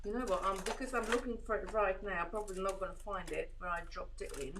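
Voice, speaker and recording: A woman's voice: mid-range voice, fairly even intonation, fast talker, noisy background. A woman with a Hungarian accent hosting a casual live chat from home, reading viewer comments and answering with a reassuring, rambling manner full of fillers and restarts. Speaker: host of a beading live stream.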